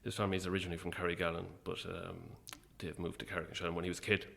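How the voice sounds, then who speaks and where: Guy being interviewed, really low creaky voice, thinks out loud kinda hesitantly. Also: level tone, very fast. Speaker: playwright and actor, interview guest. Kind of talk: casual interview chat about own work.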